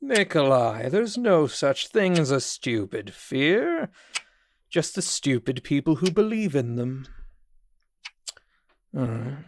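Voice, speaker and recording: A man's voice: mid-range voice, very animated, takes it slowly, long pauses, a little hiss or hum. A man playing a condescending, falsely cheerful psychiatrist in a horror audio drama, with a smug, mock-polite manner. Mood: smug, prying. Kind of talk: theatrical audio drama acting.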